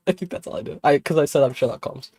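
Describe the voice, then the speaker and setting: A woman streamer with a sick, hoarse voice, chatting casually and rambling with many fillers and restarts. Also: a bass voice, animated, quick pace, mellow, no room sound. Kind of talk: casual livestream chat.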